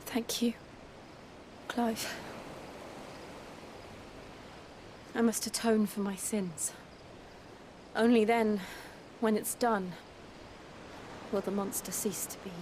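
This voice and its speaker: An actress playing a grave, remorseful young warrior woman in a fantasy video game, speaking in a slow, solemn, confessional way. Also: mid-range voice, fairly even intonation, noisy background, lightly acted. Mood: solemn resolve. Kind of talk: dramatic fantasy game acting. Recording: dramatic music under the voice. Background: loud music.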